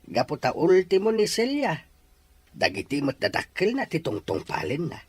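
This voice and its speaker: Audio drama casting: man as humble middle-aged Filipino father, earnest and sorrowful, lively intonation. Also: on the high side, moderate pace, breathy edge, no room sound, full character voice. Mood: sad, resigned. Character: regretful, pleading middle-aged father, once too poor. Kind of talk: Ilocano audio drama acting.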